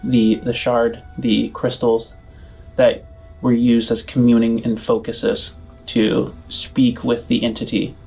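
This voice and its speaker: A man's voice: medium pitch, monotone, slow, gravelly, a little mellow, slightly rough, poor audio. Man narrating a tabletop game over music. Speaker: game master.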